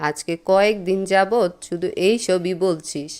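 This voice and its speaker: A woman voicing a stubborn, grumbling elderly mother-in-law in a cartoon, with drawn-out complaining delivery. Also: fairly low pitch, a little mellow, clean tone, natural voice. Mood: indignant. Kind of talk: Bengali cartoon voice acting.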